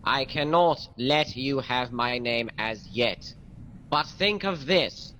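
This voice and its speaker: Slow, deliberate police sergeant. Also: male voice, mid-range voice, pushed and bright, a little hiss or hum, rough recording. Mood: dutiful. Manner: careful, deliberate. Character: deferential, methodical male police sergeant. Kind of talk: radio mystery drama acting.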